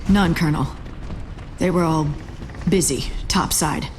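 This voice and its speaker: A woman's voice: low voice, measured pace, a little mellow, a little hiss or hum. A woman acting as an earnest young military cadet in a video game, speaking formally and dutifully. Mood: dutiful, defensive. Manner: clipped, dutiful, formal with superiors. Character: earnest young female military academy cadet giving testimony.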